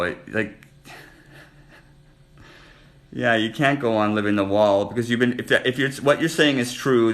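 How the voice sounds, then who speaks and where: Male chat host, middling pitch, blunt and teasing, probing questions, faint guitar music behind. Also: fairly bright tone. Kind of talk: casual probing relationship chat.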